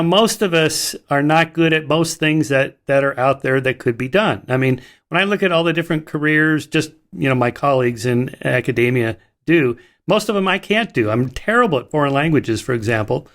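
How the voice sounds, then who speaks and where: A man's voice: fairly high pitch, animated, a little fast, few pauses, fairly bright tone. A man hosting a podcast interview, chatty and self-deprecating, laughing easily as he talks. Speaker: podcast host. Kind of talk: conversational interview.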